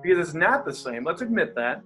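A man with a stutter talks as a pastor and coach in an interview, energetic and quick to laugh. His voice sits a little high for a man. Faint music plays behind him. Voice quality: has a stutter. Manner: energetic, animated, laughs easily. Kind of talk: interview guest, casual talk.

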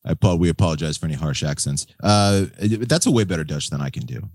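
An American man hosting a podcast in casual, slangy chat, with a husky voice and a laid-back manner. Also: very deep voice, expressive, quick pace, darkish tone, no echo, studio quality. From United States. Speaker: podcast host. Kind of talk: casual chat about motor racing.

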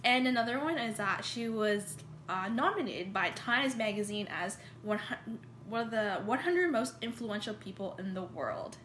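A woman's voice: mid-range voice, lively intonation, normal speed, a bit bright, slight background noise. Casual woman presenting in an echoey room. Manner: hesitant, many fillers and restarts.

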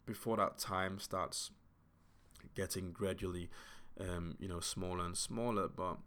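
A man's voice: low voice, steady tone, a little slow, a bit bright, clear, resonant tone. A man in his twenties hosting a solo podcast, talking in an earnest, reflective way with many fillers and restarts. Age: 20s. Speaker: podcast host.

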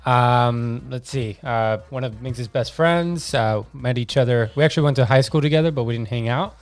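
A man's voice: medium pitch, normal speed. A man in his thirties from Argentina chatting casually as a podcast guest, slangy and joking. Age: 30s.

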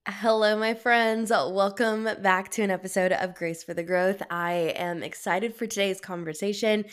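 A woman's voice: mid-range voice, fairly even intonation, moderate pace, smooth. A young adult woman hosting her own solo podcast, speaking with a head cold, reflective and encouraging, with fillers and restarts. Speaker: podcast host.

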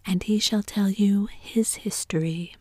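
A woman's voice: mid-range voice, fairly even intonation, a little slow, a little mellow, no room sound, studio quality, close to an everyday voice. A woman whispering the part of a bad-tempered queen who orders executions. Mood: commanding.

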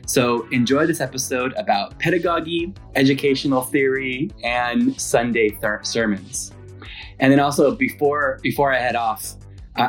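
A man from California hosting a podcast, talking in an easygoing way with frequent chuckles and hesitant fillers. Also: mid-range voice. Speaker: podcast host. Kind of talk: casual interview chat. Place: lives in Ireland.

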